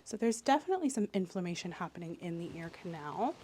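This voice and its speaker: A woman soft-speaking an ASMR medical exam role-play as the examiner, explaining in a clinical way and often slipping into a whisper. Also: on the low side, big pitch swings, fast talker, a little hiss or hum.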